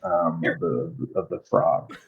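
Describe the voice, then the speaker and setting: A man running a tabletop game as game master, describing scenes slowly. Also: low voice, creaky, slightly rough, phone-quality sound.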